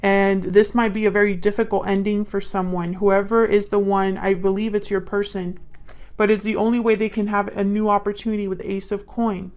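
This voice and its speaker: A woman giving an improvised tarot reading to viewers, musing and thinking aloud in a halting way. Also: medium pitch, level tone, darkish tone. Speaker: tarot reader, host.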